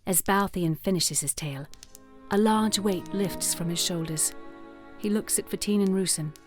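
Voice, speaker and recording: A woman narrating a fantasy audio drama in a measured, descriptive storytelling manner. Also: medium pitch, faint music behind, close to an everyday voice.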